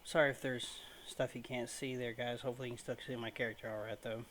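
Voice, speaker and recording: A man hosts a casual let's play from a room at home. He speaks in a low-key, restrained way with little pitch movement, at a middling pitch, over faint background noise. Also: flat delivery, fast talker, fairly bright tone. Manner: low-key, keeping his volume down. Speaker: gaming video host.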